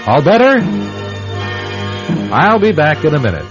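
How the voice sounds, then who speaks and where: A man's voice: fairly high pitch, wildly swooping pitch, a little slow. A man hosting a radio anthology, giving scripted, theatrical narration in a wry, sardonic manner. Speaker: radio anthology host and announcer. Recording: dull vintage radio recording over music.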